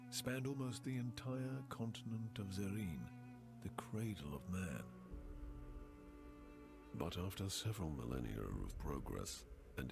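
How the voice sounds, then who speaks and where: Very deep, gravelly fantasy narrator. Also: male voice, full character voice. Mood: ominous, bitter. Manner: grave, theatrical, with hushed word endings. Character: world-weary, brooding male narrator of a ruined fantasy land. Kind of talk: scripted dramatic game intro narration. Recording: loud music under the voice.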